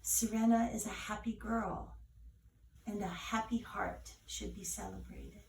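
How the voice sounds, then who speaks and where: A woman voicing a calm, kindly godmother in a story, speaking with gentle reassurance. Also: medium pitch, unhurried, a little hiss or hum. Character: calm, kindly godmother defending a young girl. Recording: roomy echo, distant from mic.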